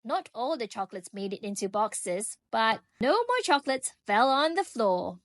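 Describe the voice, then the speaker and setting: A woman reading a children's book aloud in an expressive, animated way, drawing out key phrases for young listeners. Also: on the high side, moderate pace, dry recording. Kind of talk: expressive storybook read-aloud.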